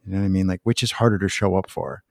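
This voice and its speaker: This is a man interviewing someone in a relaxed, unscripted way. His voice sits fairly low and sounds dry, with little room around it. He works toward each question conversationally, rephrasing as he goes. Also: racing, vocal fry, no room sound. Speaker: podcast interviewer, host.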